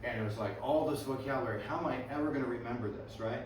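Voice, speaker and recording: A male music teacher leads a classroom workshop, asking his students questions and checking in every few sentences. His voice sits at an ordinary middle pitch and is picked up from a distance in a very echoey room, with steady background noise. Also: racing. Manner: friendly questioning of students, frequent "right?" and "okay".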